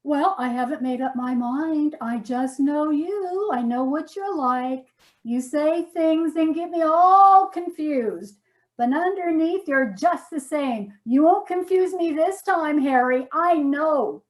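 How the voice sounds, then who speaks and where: Lady playing nagging fed-up wife, comic sketch, high voice, drawn out sarcastic delivery. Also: lively intonation, slow pace, low-quality recording. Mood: reproachful. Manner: reproachful, emphatic, sarcastic. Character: frustrated, nagging wife at couples counseling. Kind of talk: comic readers theater sketch.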